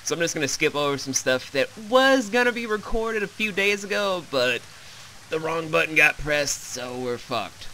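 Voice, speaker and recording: A man's voice: a little high, expressive, moderate pace, sharp tone, a little hiss or hum, rough recording. A man hosting a let's play, giving a casual, wry, rambling and sweary recap of a visual novel.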